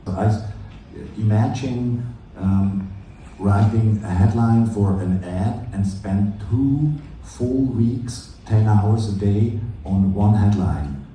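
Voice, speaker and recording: A man's voice: on the low side, takes it slowly, mellow. Casting: male conference panelist, earnest and informal, far from the mic in a very reverberant room with faint noise. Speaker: conference panelist with copywriting background.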